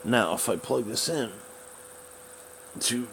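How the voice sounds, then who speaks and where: A man talking casually and haltingly as he rambles through a hobbyist's gadget troubleshooting demonstration. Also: mid-range voice, lively intonation, vocal fry. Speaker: radio hobbyist. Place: small room at home, indoors. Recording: noisy home recording with background hiss.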